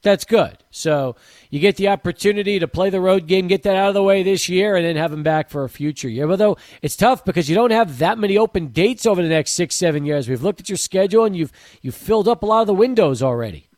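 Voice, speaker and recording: Chatty sports radio host, higher voice. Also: male voice, lively intonation, fast talker, darkish tone, no echo. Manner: chatty, agreeable, leading questions. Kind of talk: radio interview.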